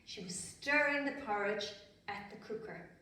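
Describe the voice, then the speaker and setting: A woman's voice: medium pitch, animated, normal speed, sharp tone. An Irish woman telling a story to small children in simple, repetitive phrases, chatting and asking them questions as she goes. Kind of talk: storytelling for small children, with asides while drawing. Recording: very echoey room, distant microphone.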